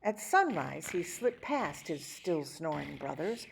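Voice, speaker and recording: A woman reading a rhyming children's picture book aloud, with theatrical, measured phrasing and dramatic emphasis. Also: low voice, big pitch swings, gravelly, background noise. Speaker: children's story reader. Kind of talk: expressive picture-book read-aloud in rhyme.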